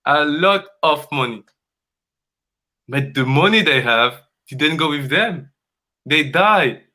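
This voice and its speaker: Forceful man, earnest coaching talk. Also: a little high, lively intonation. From Congo. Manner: earnest and emphatic, repeats phrases, halting non-native English. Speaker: dance coach.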